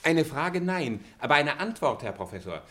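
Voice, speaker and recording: A man's voice: on the high side, sing-song, normal speed, pushed and bright. A man acting an eager middle-aged know-it-all former pupil in a radio play, insistent and officiously polite.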